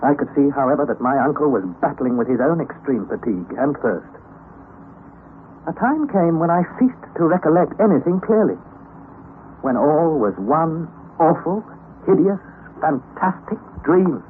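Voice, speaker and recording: An actor playing a weary, despairing young nephew who narrates a perilous expedition in a formal, anguished radio-drama style, on a thin, noisy vintage recording. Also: male voice, on the high side, expressive, normal speed, phone-quality sound, lightly acted. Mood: anguished, exhausted. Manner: formal, anguished, theatrical delivery. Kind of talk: dramatic first-person radio play narration.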